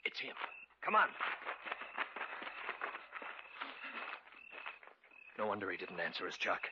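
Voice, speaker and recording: A man's voice: low voice, sing-song, quick pace, heavy background noise. An actor playing a wary outdoorsman who recounts an eerie tale in an old radio drama. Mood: alarmed, grim. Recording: dull old radio, music behind.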